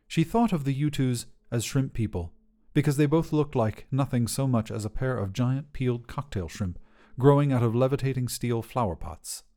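A man's voice: mid-range voice, dark tone. A man reading a scripted short story as a podcast narrator.

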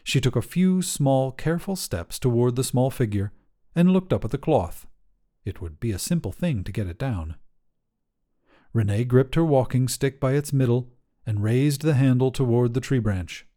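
Male narrator for short fiction, soft mellow tone, slightly low voice, lively intonation. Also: normal speed. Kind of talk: scripted short story narration.

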